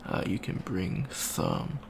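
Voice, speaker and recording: A man's voice: sits low, wildly swooping pitch, takes it slowly, gravelly, heavily acted. A man playing a shy, friendly young avian alien student in an ASMR roleplay, hesitant, stammering and rambling. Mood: shy, eager. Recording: faint background noise.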